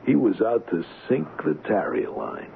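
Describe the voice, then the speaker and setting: An actor playing a bitter, mocking, hard-drinking ship's engineer turned blackmailer in an old-time radio drama. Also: male voice, lowish voice, wildly swooping pitch, a little slow, creaky, heavily acted. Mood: taunting. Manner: mocking, theatrical, taunting. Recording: lo-fi, noisy radio drama recording.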